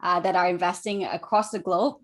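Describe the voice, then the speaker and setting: A woman's voice: lowish voice, fairly even intonation, fairly quick, a bit bright. A woman from Malaysia introducing herself fluently and upbeat as an investor on an online panel.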